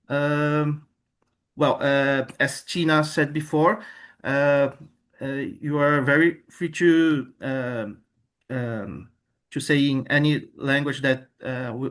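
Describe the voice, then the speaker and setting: Slow, halting Brazilian man. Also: mid-range voice, a bit bright. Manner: halting, with many fillers and repeated words. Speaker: committee member and social media manager. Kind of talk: self-introduction on a webinar. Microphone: video call.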